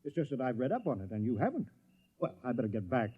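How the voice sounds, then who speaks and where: An actor playing a fussy, apologetic village mayor, flustered, polite and stammering, in old radio drama style. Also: male voice, medium pitch, sing-song, fast talker, husky, dry recording. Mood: matter-of-fact. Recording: dull, dry old radio recording.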